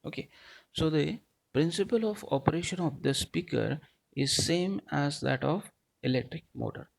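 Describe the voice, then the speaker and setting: A man's voice: fairly high pitch, lively intonation, moderate pace, gravelly. A man giving a technical lecture in a didactic way, often punctuating his points with short check-in words. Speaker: teacher, lecturer.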